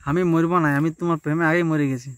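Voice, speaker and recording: A man's voice: on the high side, fairly even intonation, racing, heavy background noise. A young man with a rural western Bengali accent from Purulia, pleading and arguing insistently and melodramatically on a casual prank phone call, recorded outdoors. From West Bengal, India. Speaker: prank caller.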